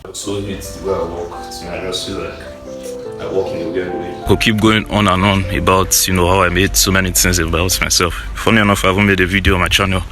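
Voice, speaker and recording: A man in his early twenties speaking softly in a relaxed, slangy, rambling way in a casual reflective vlog. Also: quick pace, music in the background. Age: 20s. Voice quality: soft-spoken, low volume. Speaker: vlogger.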